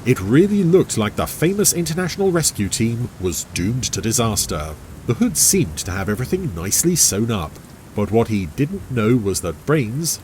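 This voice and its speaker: A man's voice: lowish voice, a little mellow, a little hiss or hum, low-quality recording. A man reading a scripted adventure story as its narrator.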